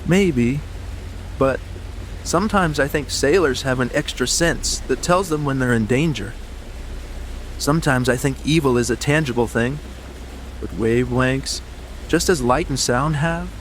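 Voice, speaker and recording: An actor playing an uneasy, superstitious yachting companion in an audiobook drama, earnest, hesitant and brooding. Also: male voice, medium pitch, a little slow, pauses often, slight background noise, lightly acted, poor audio. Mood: pensive.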